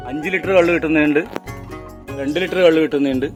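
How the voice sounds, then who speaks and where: A man's voice: fairly high pitch, fairly even intonation, fast talker. A man with a northern Kerala Kannur accent, a toddy tapper informally explaining his trade hands-on. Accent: northern Kerala (Kannur) Malayalam. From Kannur region of Kerala, India. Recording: music behind the voice. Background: instrumental background music.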